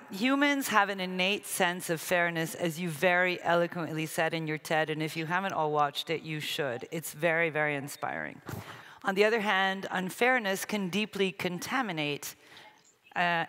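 Woman moderator on a stage, crisp bright voice, echoey hall. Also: fairly low pitch. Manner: measured, introduces the next question. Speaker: panel moderator. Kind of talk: moderating a conference panel. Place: large conference stage. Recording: reverberant hall.

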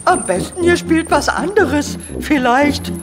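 A woman's voice: high voice, measured pace, music in the background. A woman playing a cheeky, lazy little boy ghost in a children's audio drama, playful and a bit whiny.

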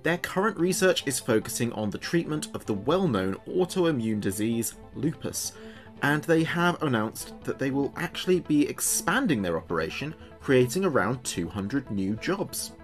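A man plays a chatty late-night radio DJ in an audio drama. His mid-pitched voice swings in lively, theatrical turns, wry and playful, with music behind him. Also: moderate pace, lightly acted. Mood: newsy, chatty. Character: chatty, jokey male small-town late-night radio host. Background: background music.

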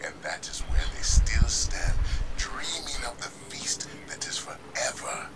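Slow, hushed male storyteller. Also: medium pitch, sing-song, vocal fry, in a whisper. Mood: solemn, wistful. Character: male storyteller solemnly recounting an ancient legend. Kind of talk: scripted storytelling in a video game audio log.

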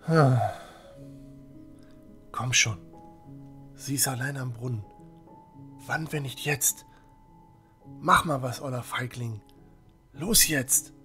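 A man's voice: medium pitch, bright, forceful tone, faint music behind. A man playing a shy, lovesick village youth muttering to urge himself on in a fantasy audio drama. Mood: anxious, self-urging. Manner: self-urging, halting mutter.

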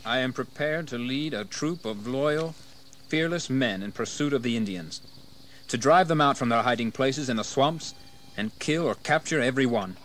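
An actor playing a bold, ambitious colonial rebel leader in a history radio drama, speaking in a proud, formal, declamatory manner. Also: male voice, mid-range voice, animated, normal speed, fairly bright tone, a little hiss or hum, close to an everyday voice. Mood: cool resolve. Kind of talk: dramatized history audio acting.

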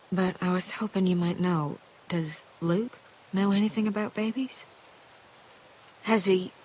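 A woman's voice: fairly low pitch, slight background noise, lightly acted, rough recording. A woman playing a frank, caring, worried survivor in a video game drama, talking in an earnest, folksy way. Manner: earnest, folksy, plain-spoken.

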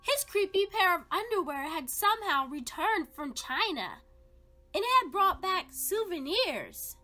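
Slow, animated woman reading a storybook. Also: extremely high pitch. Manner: dramatic, suspenseful emphasis. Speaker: children's read-aloud narrator. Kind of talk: expressive storybook narration. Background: background music.

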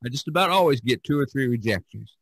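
A man with a bright, forceful voice hosts a casual podcast chat. He rambles along sociably at an average pitch, and the sound is very dry, with little room echo. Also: animated, quick pace, gravelly, husky. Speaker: podcast host and painter.

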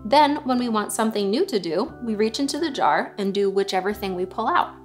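A woman's voice: medium pitch, expressive, brisk, faint music behind, natural voice. A woman voicing an encouraging mother in a children's storybook, explaining things helpfully.

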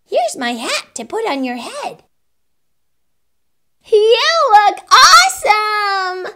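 Woman putting on a high, swooping little kid. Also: sing-song, slow pace, pushed and bright, exaggerated voice. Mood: excited. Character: helpful, kind young children sharing winter clothes. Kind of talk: children's storybook character voice.

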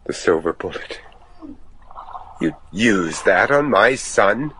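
A man's voice: lowish voice, animated, slow, pushed and bright, heavily acted. An actor playing a devout, anguished older father, a judge desperate to save his son, pleading earnestly and theatrically in a gothic horror radio drama. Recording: noisy radio drama recording.

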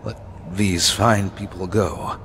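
A man voicing a nervous, apologetic older ventriloquist, stammering and pleading in a raised, husky voice. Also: low-pitched, fairly even intonation, slow pace, fairly bright tone, a little hiss or hum, heavily acted. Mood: anxious, pleading.

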